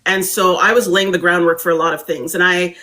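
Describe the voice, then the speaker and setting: A young adult woman from Barbados, a guest speaker telling her story in an animated, reflective conversational way. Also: lowish voice, fairly quick.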